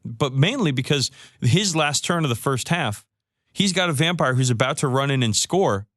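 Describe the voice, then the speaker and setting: A man's voice: medium pitch, expressive, moderate pace, a bit bright, no room sound, clean studio sound. A man co-hosting a podcast, casually recounting his games in a rambling way, full of restarts and fillers. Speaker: podcast co-host. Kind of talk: casual chat.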